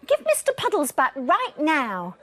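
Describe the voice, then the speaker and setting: A woman's voice: high voice, wildly swooping pitch, unhurried, voice pushed up, heavily acted. A woman voicing a bold, bossy little girl on an adventure in a bedtime story, speaking with urgent command. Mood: cross.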